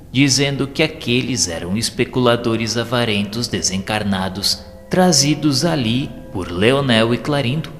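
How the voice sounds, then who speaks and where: A Brazilian man playing a solemn spirit narrator who recounts his journey with compassion in measured, literary audio-drama narration. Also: mid-range voice, lightly acted. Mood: grave, descriptive. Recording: long hall reverb, music underneath. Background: clear music.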